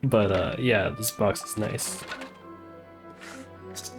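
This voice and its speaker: A man's voice: fairly low pitch, slow pace, creaky, faint music behind. A man live streaming a game, chatting casually and muttering to himself in an easygoing way.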